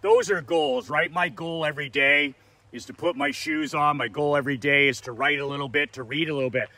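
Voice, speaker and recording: A man's voice: fairly high pitch, lively intonation, brisk, pushed and bright, no echo. A man in his fifties giving a blunt, profane motivational talk as a business coach, hammering his points with repeated lists. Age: 50s. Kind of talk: motivational monologue.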